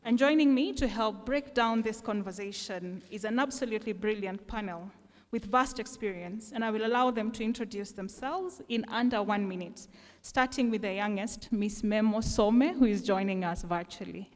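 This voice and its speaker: A woman's voice: medium pitch, normal speed, darkish tone, big-room sound. A woman moderating a panel discussion, introducing guests enthusiastically.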